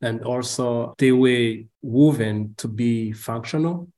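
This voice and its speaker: Young man, Rwandan accent, halting, hesitant, many fillers, podcast guest, video call. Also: mid-range voice, dark tone.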